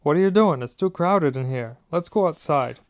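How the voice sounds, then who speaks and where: A man's voice: a little high, lively intonation, moderate pace, no echo, studio quality, voice pushed up. A man voicing a decent middle-aged wizard father in a storybook, stiffly proud, earnest and easily made indignant. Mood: alarmed.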